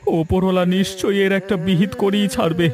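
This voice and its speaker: A man's voice: high voice, steady tone, fairly quick, a little mellow, lightly acted. A man voicing a scheming but gullible young village errand man in a cartoon, wheedling and plaintive. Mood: betrayed, aggrieved. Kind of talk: Bengali cartoon voice acting.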